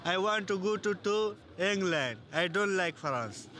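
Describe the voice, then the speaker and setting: High-voiced man, slow blunt English. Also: lively intonation, pushed and bright, slight background noise.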